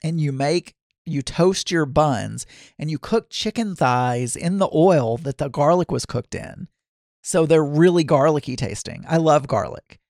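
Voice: medium pitch, vocal fry, a little mellow, no room sound, studio quality. A podcast host with a Southern US accent, chatting casually and candidly on their own, often breaking off mid-sentence and starting again. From American South. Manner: rambling, self-interrupting, chatty and candid. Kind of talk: casual solo podcast chat.

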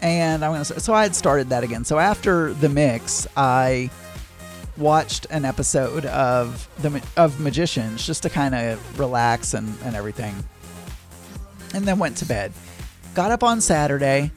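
A man's voice: mid-range voice, expressive, normal speed, soft background music. A man with a Southern accent chatting casually to viewers, rambling and often correcting himself mid-sentence. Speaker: vlogger. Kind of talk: casual chat.

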